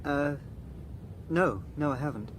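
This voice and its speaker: An actor playing an anxious, resentful adult son with an English accent and a hesitant, self-reproving manner in a radio drama. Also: male voice, fairly high pitch, takes it slowly, slight background noise, rough recording. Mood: startled, hesitant. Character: put-upon adult son, resentful yet guilt-ridden and anxious. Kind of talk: British radio drama acting.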